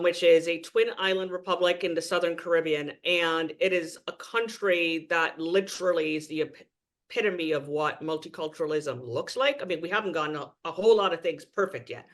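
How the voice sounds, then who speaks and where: A man's voice: on the high side, sharp tone. A man from Trinidad and Tobago, a guest speaking earnestly and with emphasis in a podcast interview.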